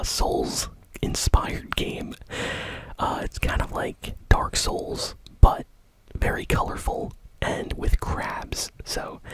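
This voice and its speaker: A person whispering casually in an ASMR style, hosting a news update in informal, conversational phrasing. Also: rough recording. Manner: hushed whispering throughout. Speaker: gaming news host.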